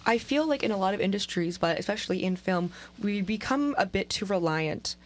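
A young woman co-hosting a podcast, chatting casually and telling stories with lively repeats. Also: mid-range voice, brisk. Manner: animated storyteller, repeats words. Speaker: podcast co-host. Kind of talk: casual chat.

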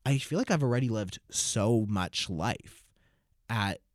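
A nineteen-year-old man hosting his own podcast, talking alone in an animated, theatrical way with sudden loud bursts of play-acting. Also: lowish voice, a little slow, no echo, studio quality. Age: 19, nearly 20. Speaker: podcast host. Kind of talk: solo podcast monologue.

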